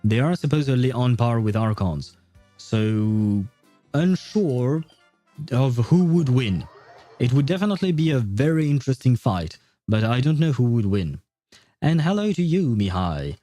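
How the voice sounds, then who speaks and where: Man, game streamer, mellow tone, close dry sound, casual rambling chat, faint music behind. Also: mid-range voice, big pitch swings, unhurried, no echo. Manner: informal, rambling, mild swearing. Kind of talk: casual livestream chat and commentary. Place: indoor streaming setup, dry.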